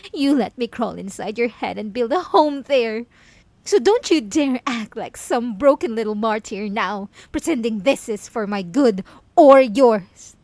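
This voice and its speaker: A woman's voice: mid-range voice, no room sound. A young woman performing an obsessive, possessive female killer locked in a cell, taunting in whispers and bursting into outbursts. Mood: menacing. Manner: taunting, slipping from soft whispers to sudden outbursts. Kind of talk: roleplay audio drama to the listener.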